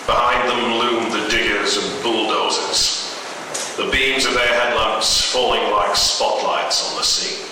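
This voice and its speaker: A man reading a horror story aloud dramatically as a radio host, in an echoey, noisy recording at a distance from the microphone. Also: medium pitch.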